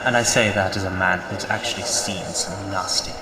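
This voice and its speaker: A man voicing a patronising, wry scientist in an audio drama, measured and condescending. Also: low-pitched, fairly even intonation, close to an everyday voice. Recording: echoey with loud background noise.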